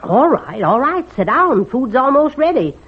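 Husky, sharp-tongued country foster mother. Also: high voice, big pitch swings, normal speed, exaggerated voice. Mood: dry, brusque. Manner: folksy, scolding, dry wit. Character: gruff, sharp-tongued, kindhearted rural coastal foster mother. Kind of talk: old-time radio drama acting. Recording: muffled old radio recording.

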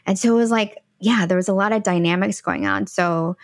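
A woman's voice: mid-range voice, fairly quick, dry recording, studio quality. A woman speaking as a podcast guest, reflecting at length in a casual conversation with frequent restarts. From United States. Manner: reflective, talks in long winding stretches with frequent restarts. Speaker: guest, empowerment coach.

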